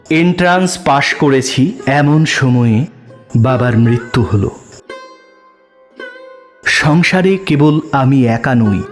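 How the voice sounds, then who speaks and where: A man giving a measured, unhurried first-person narration of a literary story, letting his voice drop at the ends of sentences. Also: on the high side.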